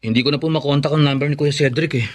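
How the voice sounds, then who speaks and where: An actor with a Filipino accent playing a respectful, caring young son and brother in a radio drama, earnest and polite. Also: male voice, a little high, fairly even intonation, very fast, close to an everyday voice. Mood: alarmed.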